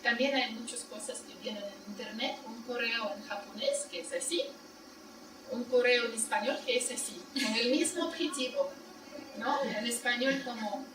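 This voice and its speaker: A woman's voice: fairly high pitch, moderate pace, music in the background. A woman giving a university seminar lecture in Spanish as a second language, explaining in plain steps and checking her listeners with short questions. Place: seminar room. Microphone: distant room mic. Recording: echoey room, far mic, loud noise.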